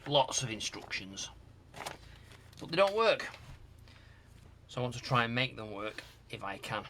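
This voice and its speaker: A middle-aged British man giving casual hands-on repair commentary, musing aloud in a halting, hesitant way. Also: mid-range voice, very animated, sharp tone, a little hiss or hum. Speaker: host of a retro tech repair channel. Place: home workshop loft.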